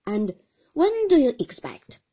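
A woman reading an audiobook, voicing a formidable older aunt who speaks loudly, curtly and with command. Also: high-pitched, very animated, takes it slowly, voice pushed up, full character voice. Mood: brusque. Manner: loud, curt and commanding.

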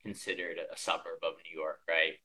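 A man's voice: extremely low pitch, level tone, brisk, pushed and bright. A man from Connecticut with an American accent, casually telling his own story on a podcast. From Connecticut, United States. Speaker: podcast co-host.

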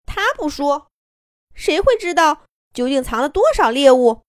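Woman doing loud squabbling wolves. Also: high-pitched, sing-song, unhurried, no echo, studio quality, voice pushed up. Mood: quarrelsome. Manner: loud, raised arguing voice. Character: squabbling pack wolves, adult, quarrelsome.

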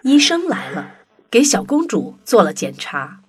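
Animated woman narrating a children's story. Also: medium pitch, unhurried, loud music behind the voice.

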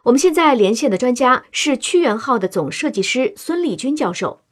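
Radio drama news anchor: thirties, earnest and formal, melodic swings in pitch. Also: female voice, very animated, brisk. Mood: urgent, serious. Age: 30s. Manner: formal, crisp announcer delivery.

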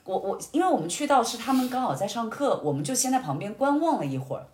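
A young woman chatting casually and telling personal stories in an animated way, in short phrases. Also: on the high side, quick pace, slight background noise. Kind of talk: casual conversational storytelling. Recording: roomy, echoey sound.

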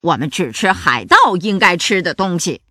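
A woman's voice: fairly low pitch, big pitch swings, moderate pace, bright, forceful tone, dry recording, clean studio sound, pitched below the performer's own voice, heavily acted. A woman playing a bossy, mocking male pirate captain in a children's story, speaking in a commanding, emphatic way. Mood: proud, gruff.

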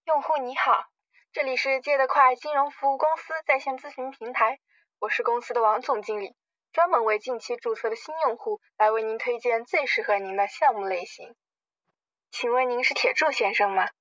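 Radio drama role: slick company manager with formal persuasive patter, played by a woman, higher pitch, muffled lo-fi sound. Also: steady tone, moderate pace, fairly bright tone, dry recording. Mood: polite, salesy. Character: smooth-talking, scheming loan company general manager. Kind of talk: amateur radio drama acting.

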